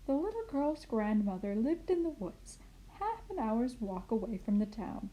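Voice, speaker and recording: A woman playing a kindly, muddled grandmother in an expressive children's storybook reading. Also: fairly high pitch, normal speed, poor audio. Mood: earnest storytelling. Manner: expressive storybook reading with puzzled self-corrections. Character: kindly, muddled grandmother misreading fairy tale aloud.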